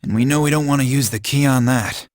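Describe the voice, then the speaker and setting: A man's voice: medium pitch, quick pace, no echo, studio quality, lightly acted. A man playing a practical, level-headed, plain-spoken young man in an anime game dub. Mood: doubtful, cautious.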